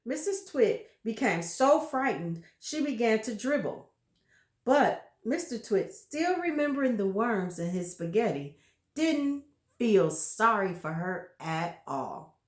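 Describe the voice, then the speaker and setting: A woman reading a children's story aloud, expressive and pausing now and then to chat about the pictures. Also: fairly high pitch, echoey room. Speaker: reader.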